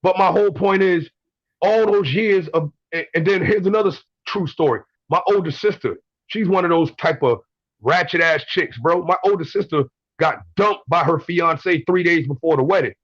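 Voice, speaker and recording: Guy in his 20s calling in, kinda high voice, blunt and cussing, dry muffled line. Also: normal speed, a bit bright, dry recording. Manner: blunt, swears, repeats words while telling stories. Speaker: caller. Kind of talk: casual call-in story.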